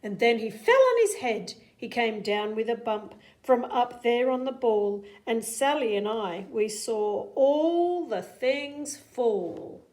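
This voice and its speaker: A woman's voice: fairly high pitch, animated, slow pace, few pauses, darkish tone, poor audio. A woman narrating a children's story as a storybook reader.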